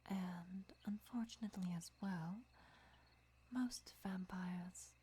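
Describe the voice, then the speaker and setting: Girl doing asmr vampire girlfriend, soft breathy whisper, dark mellow tone, really slow n patient teaching. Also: on the low side, exaggerated voice. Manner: measured, deliberate, soft-spoken explaining. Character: female vampire lover patiently teaching, gentle and devoted.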